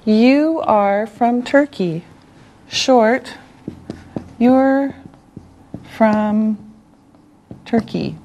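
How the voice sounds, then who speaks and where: A middle-aged woman from Canada teaching an English lesson, enunciating deliberately word by word and repeating herself, in a studio. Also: a little high, mellow, lo-fi sound. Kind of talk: slow classroom language lesson. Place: television studio classroom set.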